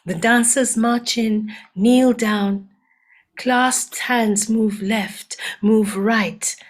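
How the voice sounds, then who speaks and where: An older woman from Uganda, a storyteller reading her own poem aloud in a soft-spoken way. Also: a little high, steady tone. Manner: soft-spoken, unhurried, breaks into chanted and sung verses. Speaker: storyteller and poet.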